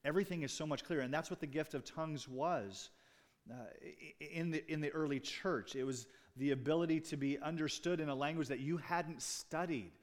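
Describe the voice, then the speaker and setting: A man's voice: on the high side, fairly quick. A man preaching a sermon as pastor, talking conversationally with asides and jokes to his congregation. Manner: restarts and fillers, speaking off the cuff.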